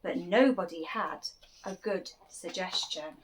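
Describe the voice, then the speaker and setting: A woman reading a rhyming children's picture book aloud, expressively and with deliberate dramatic emphasis. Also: on the low side, slight background noise, rough recording. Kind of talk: animated children's story reading, rhyming verse.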